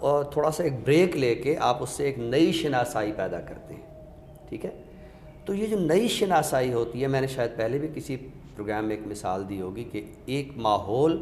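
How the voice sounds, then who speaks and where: Male literary expert, didactic and methodical, mid-pitched, recorded in a reverberant room. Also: darkish tone. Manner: didactic, explains step by step with examples. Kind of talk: explanatory literary discussion. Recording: echoey reverberant room with background noise.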